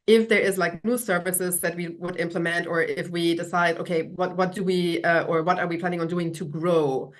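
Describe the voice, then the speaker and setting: Female interview guest, German accent, slightly low voice, conversational small-business owner. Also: fairly bright tone.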